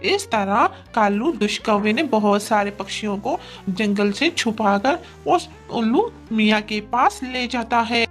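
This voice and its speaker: A woman reading a scripted children's story as its narrator. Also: fairly high pitch. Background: music.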